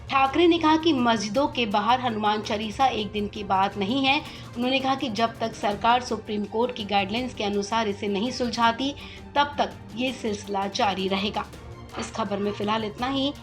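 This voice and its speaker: A woman presenting the news in a scripted narration style. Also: on the high side.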